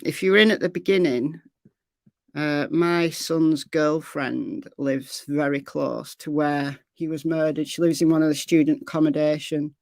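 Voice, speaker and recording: Hoarse, rambling woman streamer. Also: low-pitched, darkish tone, no echo, studio quality. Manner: rambling, chatty, trails off into fillers. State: slightly hoarse, losing her voice. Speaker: true crime livestream host. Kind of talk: casual livestream sign-off chat with viewers.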